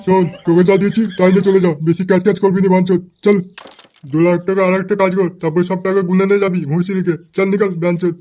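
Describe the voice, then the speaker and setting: High-pitched foul-mouthed angry cartoon crook voiced by a man. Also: level tone, rapid-fire, dark tone, dull audio, close to an everyday voice. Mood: bullying. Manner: coarse, swearing, aggressive. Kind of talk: amateur comedy cartoon dubbing.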